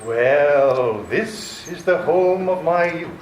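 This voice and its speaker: Guy doing a menacing theatrical villain, radio drama, highish swoopy voice, echoey and a little noisy. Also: lively intonation, takes it slowly. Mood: sinister nostalgia. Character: sinister, theatrical long-lost criminal nephew. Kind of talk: old-time radio theater acting. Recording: echoey, faintly noisy stage recording.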